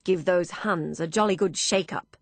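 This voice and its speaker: A woman's voice: lowish voice, moderate pace, no room sound. A woman voicing a hearty young army major who speaks in bluff, emphatic declarations.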